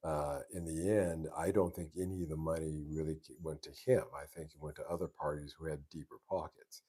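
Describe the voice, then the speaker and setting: A man with a very low voice hosting a casual live stream, answering viewer questions in an easygoing, rambling way with many restarts. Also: level tone, brisk, mellow, slightly rough. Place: live stream from home base abroad.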